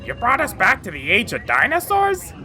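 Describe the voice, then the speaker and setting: A woman voicing a bumbling male cartoon villain, a frantic mad scientist with a sharp, strained voice who rambles and exclaims theatrically. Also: on the high side, wildly swooping pitch, moderate pace, soft background music, exaggerated voice. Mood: alarmed. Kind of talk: cartoon fan tribute monologue.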